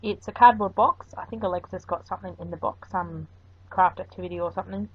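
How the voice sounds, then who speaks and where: A woman's voice: lowish voice, quick pace, husky, no echo. A woman chatting her way through a voice-over craft tutorial, rambling a little with frequent ums. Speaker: craft video host. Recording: dull lo-fi recording.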